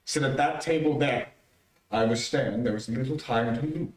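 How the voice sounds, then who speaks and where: Mysterious radio crime fighter male actor: commanding, deliberate, medium pitch, distant roomy sound. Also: close to an everyday voice. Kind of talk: old-time radio mystery acting. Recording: roomy, distant amateur stream audio.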